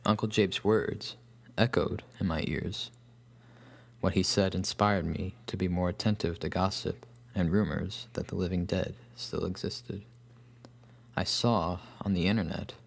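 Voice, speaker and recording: An actor playing a solemn, bookish loner recalling his boyhood, narrating a scripted horror story with an American accent and grave, measured phrasing. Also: low-pitched, steady tone, vocal fry, full character voice. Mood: sombre. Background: guitar music.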